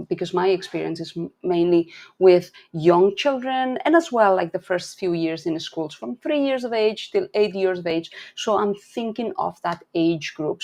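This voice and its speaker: A woman's voice: fairly low pitch, vocal fry, a little mellow. A middle-aged woman from Barcelona with a Spanish accent, presenting a webinar for parents and teachers in an earnest, practical way with frequent restarts. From Barcelona, Spain. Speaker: early intervention specialist and webinar host.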